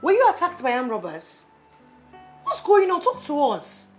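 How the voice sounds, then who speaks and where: A woman's voice: sits high, huge pitch swings, normal speed, faint music behind. An actress playing a worried young woman questioning her injured brother. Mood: alarmed. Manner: anxious questioning.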